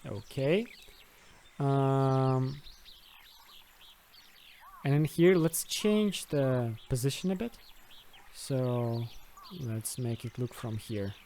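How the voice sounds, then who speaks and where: A man's voice: mid-range voice, animated, extremely slow, pauses often, warm tone, slight background noise. A man giving an unscripted screen-recorded tutorial as its host, hesitant and thinking aloud step by step. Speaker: host of a video game modding tutorial.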